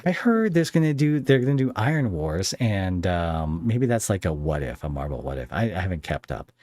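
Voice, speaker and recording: A man's voice: low-pitched, big pitch swings, moderate pace, a little mellow. A man hosting a podcast, chatting casually about pop culture in a wry, rambling, hesitant way. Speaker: podcast host interviewing a guest.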